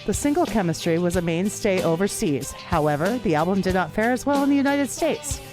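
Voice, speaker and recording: Lively woman narrating over guitar music. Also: medium pitch, a little fast. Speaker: podcast host. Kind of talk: scripted narration of a band biography. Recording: music playing behind voice.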